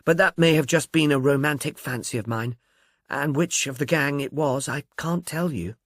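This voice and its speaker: A man's voice: fairly high pitch, normal speed, fairly bright tone, dry recording, studio quality. Man voicing an amiable, gossipy actress. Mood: breezy, thoughtful. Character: agreeable, amiable young film actress, chatty and candid.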